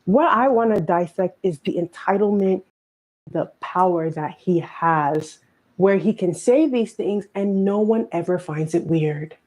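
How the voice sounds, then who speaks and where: A woman giving earnest, emphatic social commentary in a video clip, talking in quick bursts. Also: on the low side, darkish tone.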